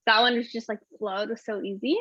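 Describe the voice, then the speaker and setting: A woman's voice: on the high side, steady tone, fairly quick, fairly bright tone, slightly rough, dry recording. A singer-songwriter in her twenties chatting casually in an interview, bubbly, laughing often and saying "like" all the time. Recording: dull, dry, lo-fi sound.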